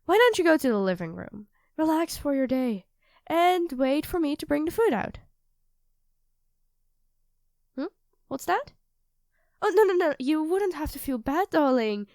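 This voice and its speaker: A woman's voice: sits high, lively intonation, normal speed, darkish tone, no room sound, clean studio sound. A woman playing an affectionate, playfully teasing young girlfriend in an ASMR audio roleplay, talking expressively and dotingly to her partner.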